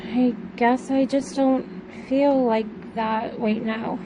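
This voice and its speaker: High-voiced, slow, hesitant teenage daughter played by a woman. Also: fairly even intonation, noisy background. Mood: evasive, subdued. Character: teenage daughter, reserved, evasive, tired. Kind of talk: amateur audio drama acting, naturalistic.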